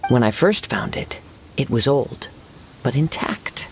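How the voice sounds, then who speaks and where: Serene, poetic young wanderer. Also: male voice, mid-range voice, very animated, slight background noise. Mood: musing. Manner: gentle, measured, lyrical, formal wording. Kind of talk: video game cutscene dialogue.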